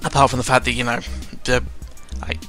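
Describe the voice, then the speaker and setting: A man's voice: medium pitch, flat delivery, a little fast, sharp tone. A man giving casual, unscripted gaming commentary in a rambling, self-deprecating, sweary way. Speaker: gaming video creator. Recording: music behind the voice. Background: background music.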